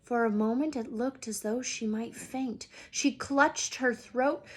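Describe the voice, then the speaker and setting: Slow, slightly husky woman reading aloud. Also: on the high side. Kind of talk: expressive storybook read-aloud.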